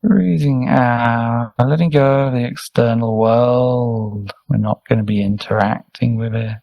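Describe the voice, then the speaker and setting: A man leading a guided meditation as a teacher, speaking gently and soothingly in short, unhurried instructions with pauses. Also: mid-range voice, steady tone, gravelly, no echo, highs cut off. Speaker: meditation teacher, Buddhist monk.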